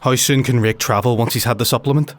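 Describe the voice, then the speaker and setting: A man playing a watchful, level-headed outlaw lieutenant in a dark fantasy audio drama, terse and guarded. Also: medium pitch, steady tone, quick pace, lightly acted. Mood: wary, urgent.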